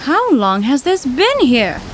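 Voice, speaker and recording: A woman's voice: high voice, wildly swooping pitch, takes it slowly, noisy background, heavily acted. A woman playing a brusque, sarcastic daughter. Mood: blunt, sarcastic. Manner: blunt and impatient, sarcastic. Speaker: scientist's daughter. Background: music.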